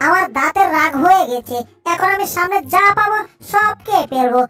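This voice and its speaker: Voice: very high voice, animated, brisk, pushed and bright, soft background music, heavily acted. A performer voicing a greedy, childish baby crocodile in a cartoon dub, playful and chanting about food. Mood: ravenous, gleeful. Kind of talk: Bengali cartoon dub acting.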